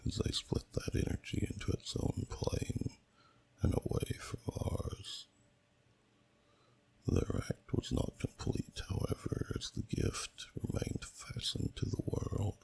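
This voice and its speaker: A man voicing an ancient, solemn dragon who slowly tells a story in a deep, gravelly, breathy half-whisper, in ASMR style. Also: a little mellow, heavily acted. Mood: grave, mournful. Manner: slow, solemn, deliberate, archaic phrasing. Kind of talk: ASMR storytelling, scripted narration.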